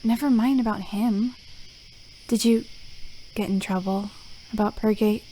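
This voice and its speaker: Woman acting bold, caring Ojai, dark mellow voice. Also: mid-range voice, steady tone, unhurried, slight background noise, lightly acted, low-quality recording. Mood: casual, curious. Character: Ojai, bold, caring, mischievous girl companion.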